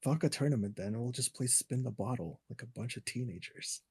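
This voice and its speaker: A man at a casual tabletop game with friends. He talks bluntly and jokes crudely. His voice is husky and mid-pitched, recorded dry with no room sound. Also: expressive, brisk, a little mellow, no room sound. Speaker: player.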